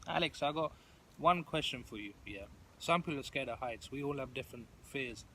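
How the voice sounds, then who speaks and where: A man's voice: medium pitch, lively intonation, fairly quick, sharp tone, slight background noise. A man speaking earnestly in casual conversation, with emphatic delivery. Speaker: stammering support group member.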